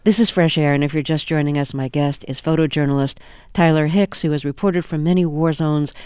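A man hosting a radio interview, introducing his guest and asking measured, clear questions. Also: fairly high pitch, fairly even intonation, darkish tone, dry recording, studio quality. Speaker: radio host.

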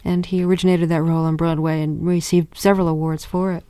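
A woman hosting a radio interview, asking questions and giving measured introductions. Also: fairly low pitch, flat delivery, mellow, smooth, no echo.

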